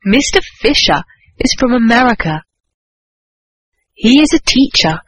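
Slow, breathy woman reading a lesson. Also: on the high side, animated, dry recording, rough recording. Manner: slow, careful, deliberate enunciation. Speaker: English lesson voice. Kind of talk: slow scripted language-lesson dialogue and reading.

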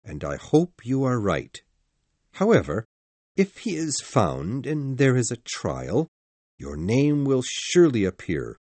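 Guy doing a serious old-fashioned gentleman for a gothic audiobook, slow and earnest, really expressive, dry sound. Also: mid-range voice, no room sound, heavily acted. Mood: grave, probing. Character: grave gentlemen in a classic gothic mystery. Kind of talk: dramatised audiobook dialogue.

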